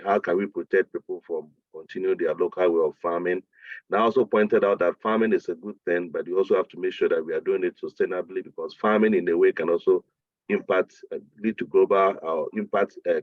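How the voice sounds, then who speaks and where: Ghanaian man, deep voice, tinny line. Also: no room sound. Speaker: meeting participant. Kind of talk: discussion contribution.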